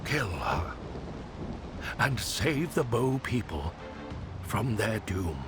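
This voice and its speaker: An actor playing a weary, wise old man in a video game, speaking in a deliberate, folksy way over music. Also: fairly low pitch, very animated. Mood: measured, musing. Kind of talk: dramatic video game voice acting. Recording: background music under the voice.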